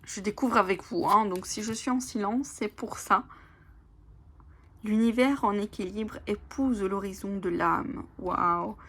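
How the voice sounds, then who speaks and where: A woman whose first language is Italian, casually presenting oracle cards, reading their lines aloud slowly and whispering in awe, with many fillers. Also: mid-range voice, husky. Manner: soft, often whispering in awe, hesitant with fillers. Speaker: oracle card channel host.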